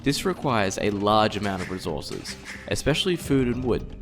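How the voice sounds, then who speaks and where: A man's voice: on the low side, very animated, moderate pace, music in the background. A man reading scripted tutorial narration for a strategy game, instructing step by step.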